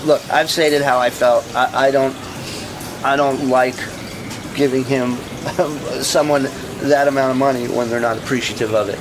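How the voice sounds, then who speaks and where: A man's voice: steady tone, a little slow. A man in a casual, unscripted argument, speaking in a blunt, insistent way with some swearing. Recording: clearly noisy, ambient rumble.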